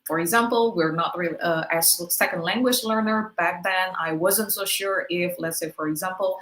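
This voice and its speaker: A woman gives a patient webinar lecture to teachers, walking through examples one by one and checking her listeners follow along. Her voice sits at an ordinary middle pitch. The sound carries a noticeable room echo. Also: a bit bright. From Indonesia. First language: Indonesian. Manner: explanatory, checks in with "right?". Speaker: webinar speaker.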